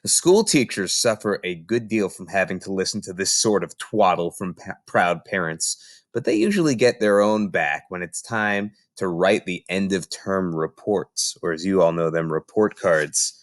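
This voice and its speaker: A man reading a children's book aloud in an expressive, theatrical storytelling style with emphatic phrasing. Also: low-pitched, moderate pace, dry recording, studio quality.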